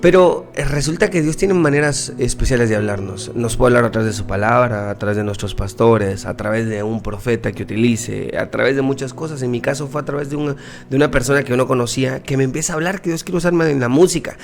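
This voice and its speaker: Earnest young Guatemalan guest, gravelly voice, faint music under it. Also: male voice, mid-range voice, quick pace. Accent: Guatemalan Spanish. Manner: earnest, repeats words as he talks. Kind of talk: casual testimony interview.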